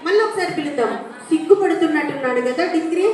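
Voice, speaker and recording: Very high-voiced woman hosting in an echoey hall. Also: lively intonation, racing. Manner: simple repeated questions to children. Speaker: host of a children's puppet show. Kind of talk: live children's presenting, talking with a puppet. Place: large hall with children. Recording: very echoey hall, distant mic, crowd noise.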